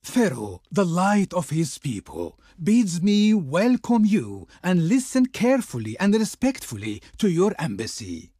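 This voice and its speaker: A grand Egyptian court diplomat for a strategy game. His voice is fairly high and a little husky, with hugely theatrical swoops in pitch. He declaims with pompous ceremony, haughty toward foreigners and fawning toward his Pharaoh. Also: huge pitch swings, measured pace, hardly any pauses, dark tone, no echo, studio quality, heavily acted. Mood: ceremonious, welcoming.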